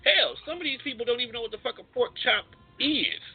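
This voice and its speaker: Husky, opinionated American man on thin audio. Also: very high-pitched, big pitch swings, fast talker, narrow, tinny audio. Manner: opinionated, emphatic, repeats points. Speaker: podcast host. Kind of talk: casual debate and banter.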